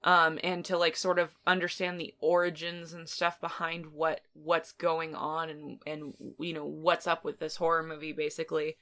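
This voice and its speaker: A woman's voice: low-pitched, level tone, normal speed, few pauses, fairly bright tone. A woman co-hosting a podcast, chatting casually as she reviews films. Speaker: podcast co-host. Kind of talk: casual film review chat.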